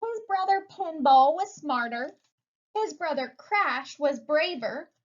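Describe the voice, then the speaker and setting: Female story reader for young children: high voice, slow pace, expressive emphasis. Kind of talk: children's picture book read-aloud. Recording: muffled lo-fi recording.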